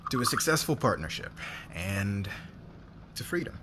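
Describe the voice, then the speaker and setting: A man's voice: fairly low pitch, big pitch swings, normal speed. A man playing a demanding, driven fashion boss in an ASMR roleplay audio drama, with a commanding, persuasive manner. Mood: celebratory. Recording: clear background ambience noise.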